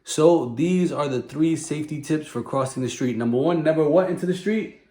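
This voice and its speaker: A low-voiced woman teaches a young child in an echoey room. She speaks instructively, repeats key phrases and keeps prompting with questions. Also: normal speed, mellow. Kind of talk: child-directed safety lesson.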